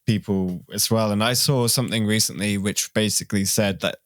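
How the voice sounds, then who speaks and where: A man's voice: on the low side, steady tone, brisk, no echo, clean studio sound. A man chatting casually as a podcast interviewer, informal and loosely phrased.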